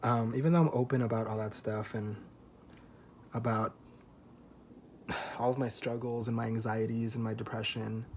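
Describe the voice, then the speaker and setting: A man's voice: mid-range voice, vocal fry, darkish tone, slight background noise. Man vlogging a candid confession. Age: 20s. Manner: open, rambling, self-conscious, often swears. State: feels tipsy.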